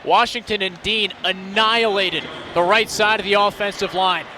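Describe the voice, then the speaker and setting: A man's voice: high-pitched, fairly even intonation, normal speed, pushed and bright, a faint crowd, slight background noise. A young man giving live radio football analysis, explaining and recapping plays in descriptive phrases. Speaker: color analyst.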